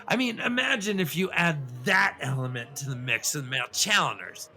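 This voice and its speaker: A man playing an excitable puppet lecturer who explains fantasy lore in a rambling, professorial way, with digressions and stammers. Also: a little high, fairly quick, faint music behind. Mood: earnest, rambling. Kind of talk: semi-scripted comic puppet-show lecture.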